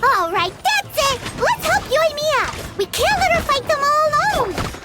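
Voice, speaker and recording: An actress voicing a tiny, excitable, childlike fairy sidekick with a squeaky voice in an anime-style video game. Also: female voice, a little slow, exaggerated voice. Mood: indignant. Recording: loud game music over the voice.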